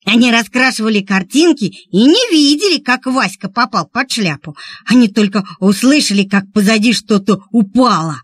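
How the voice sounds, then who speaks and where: A woman narrating a scripted children's story in an expressive, theatrical manner with drawn-out emphasis. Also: on the high side, no room sound.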